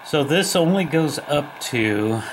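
Male hobbyist demonstrating gear casually, slow and plain-spoken, heavy shortwave hiss throughout. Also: a little high, animated. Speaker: shortwave radio hobbyist presenter. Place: indoors at a wooden table. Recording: loud radio static and hiss. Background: shortwave radio static, tones and ticking.

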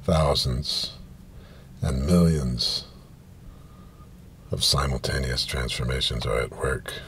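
Very deep, gravelly man reading prose. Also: takes it slowly. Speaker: reader. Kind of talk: literary reading of science fiction prose. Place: inside a parked car, small space. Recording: steady ambient noise of car interior.

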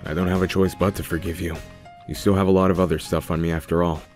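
A man voicing a stern, long-suffering young man in a comic dub, with a dry, deadpan manner. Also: sits low, fast, soft background music, lightly acted. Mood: dry, exasperated.

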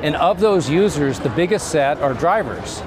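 A man in his fifties explaining things conversationally as an interview guest, in a measured, halting way with pauses. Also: fairly high pitch, expressive, a crowd in the background. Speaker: company CEO, interview guest. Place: trade show booth set, indoors. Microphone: lapel mic. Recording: clear background noise of busy trade show.

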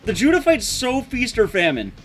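A man's voice: very high voice, wildly swooping pitch, normal speed, soft background music. A young man giving casual, sweary, self-deprecating live commentary as he speedruns a video game. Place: small room at home, game sound behind.

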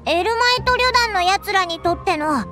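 A woman voicing a tiny floating girl companion who is chirpy and blunt, quipping in a game cutscene. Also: music in the background. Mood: curious. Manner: high, lively, quick quips. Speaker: game character.

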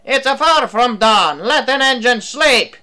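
A man voicing a short-tempered storybook steam engine that barks loudly and indignantly. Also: very high voice, lively intonation, measured pace, slight background noise, voice pushed up, full character voice. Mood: furious. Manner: loud, indignant barking. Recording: music behind the voice.

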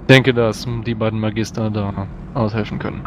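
Male tabletop player, low-ish and brisk, considered in-character talk, noisy voice-chat sound. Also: fairly even intonation, fairly bright tone. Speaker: tabletop role-playing player. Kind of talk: in-character discussion.

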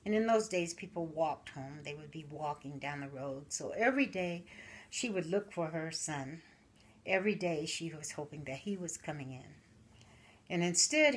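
An older woman, a grandmother, telling a folksy story at a slow, animated pace in a living room, recorded by the camera. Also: low voice.

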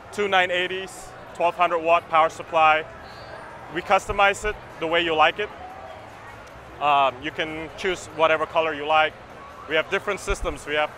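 A man's voice: a little high, bright, forceful tone, a little hiss or hum. A man in his thirties explaining a product at a trade show booth, earnest and agreeable, often repeating words as he explains. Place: busy expo hall floor, indoors. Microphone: camera mic, handheld close.

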